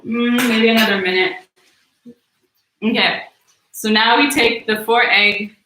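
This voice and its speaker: A woman's voice: fairly high pitch, measured pace, distant mic, noisy background. Chatty woman in an echoey kitchen. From American Midwest. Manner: relaxed, chatty, many fillers. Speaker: host cooking on a livestream. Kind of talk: casual live cooking chat. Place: home kitchen. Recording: echoey room, voice a bit off-mic.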